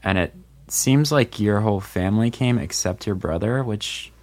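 A man's voice: on the low side, moderate pace, a little mellow. A man hosting a podcast in casual chat, steering the talk and teasing his co-hosts. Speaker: podcast host.

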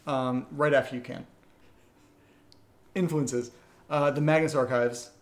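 Casual man with a mid-pitched voice. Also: fairly even intonation, measured pace. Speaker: audio drama writer, co-host reading listener questions. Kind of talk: casual Q&A chat.

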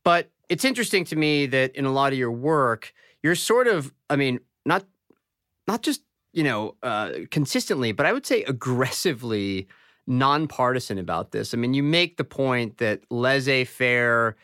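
Smooth-voiced male podcast host. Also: medium pitch, sing-song, moderate pace, a bit bright, dry recording, clean studio sound. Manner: thoughtful, conversational, hedging with fillers. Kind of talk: interview questions.

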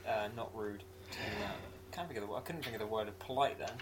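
A man's voice: fairly low pitch, fast talker, crowd noise. A man co-hosting a casual podcast over a call, bantering and laughing often. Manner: giggly, lively banter. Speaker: podcast co-host.

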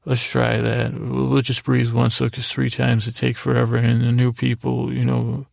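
Male podcast host, heavy vocal fry, dry recording; wandering, silly sleep-time monologue that keeps losing its thread. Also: mid-range voice, monotone, a little mellow, dry recording. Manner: meandering, halting, trails off mid-thought; silly, mildly absurd humor. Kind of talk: rambling bedtime storytelling monologue.

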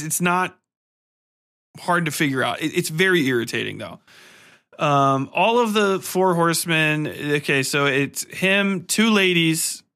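Blunt, sharp-voiced man ranting, higher pitched. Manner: blunt, irritated, swears constantly. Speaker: podcast host. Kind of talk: casual, profane film review rant.

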